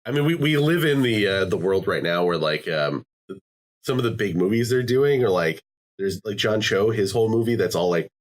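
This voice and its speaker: A man's voice: fairly low pitch, lively intonation, normal speed. A man hosting a casual podcast chat, rambling with lots of fillers and restarts. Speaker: podcast host.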